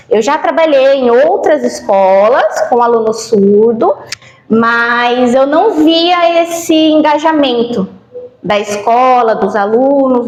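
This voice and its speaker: A Brazilian woman with a fairly high voice speaks in a roomy, echoing space. She works as a school interpreter and gives her testimonial haltingly, stretching words for emphasis. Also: darkish tone, slight background noise. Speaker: sign language interpreter at a school. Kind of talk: spoken testimonial in a school podcast. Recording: roomy echo.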